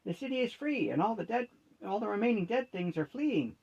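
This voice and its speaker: An excited little gnome fighter from a fantasy storybook , as a male reader acts him out, pitched high and husky. He exclaims triumphantly. The sound is a bit dull and lo-fi. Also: brisk, full character voice. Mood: elated, breathless. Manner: enthusing, exclamatory.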